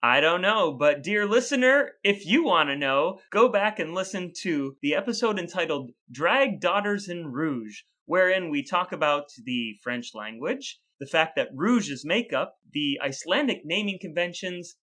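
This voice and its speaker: A man's voice: on the high side, lively intonation, normal speed, few pauses, pushed and bright. A man hosting a casual podcast, speaking in a playfully grandiose way. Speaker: podcast host. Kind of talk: casual podcast banter with scripted sign-off.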